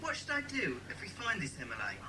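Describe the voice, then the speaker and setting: A woman's voice: medium pitch, wildly swooping pitch, quick pace, a crowd in the background, rough recording. A woman acting a keen, self-assured schoolboy club member in a dramatised children's audiobook. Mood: thoughtful.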